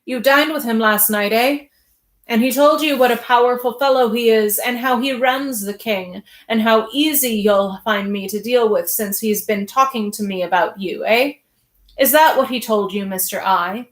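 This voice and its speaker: A shrill, paranoid king who snarls and spits insults in a voice pitched on the high side, as a woman puts him on. He rants with bitter arrogance. The reading sits in a roomy, echoing space. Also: moderate pace, close to an everyday voice. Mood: ranting. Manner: ranting, snarling, spitting out insults.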